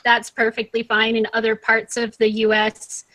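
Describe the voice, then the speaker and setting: A woman from the United States gives a measured explanation, one point after another. Her pitch is a little high but barely moves, and the sound is dry and close. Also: completely flat delivery, pushed and bright, no echo, rough recording. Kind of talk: casual group discussion in an online meeting. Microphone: video call.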